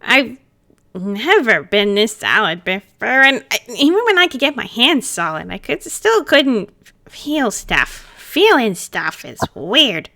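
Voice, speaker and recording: A woman's voice: fairly high pitch, sing-song, slow, gravelly, bright, forceful tone, exaggerated voice. A woman voicing a small, grumpy, mischievous male pumpkin spirit who grumbles and pouts in broken phrases in an amateur roleplay reading. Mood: grumbling, pouty. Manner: pouty grumbling, repeats himself in broken phrases.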